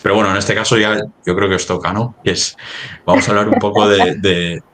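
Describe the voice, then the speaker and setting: A man from talking business conversationally, halting and rephrasing as he goes, often ending on tag questions. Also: lowish voice, fairly even intonation, fairly bright tone, slight background noise. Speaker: company representative in a webinar.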